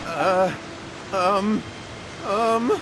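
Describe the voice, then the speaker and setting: A man's voice: high-pitched, extremely slow, full character voice. An actor playing a panicky, evasive young man who stammers nervously in a video game. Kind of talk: anime-style video game voice acting. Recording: hissy background noise.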